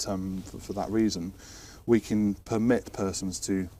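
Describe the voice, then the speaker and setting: Mellow British man, slightly distant mic. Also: low-pitched, steady tone, a little slow. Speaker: air traffic controller, interview guest. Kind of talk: informal explanatory interview chat. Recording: distant mic, faint background noise and ambience.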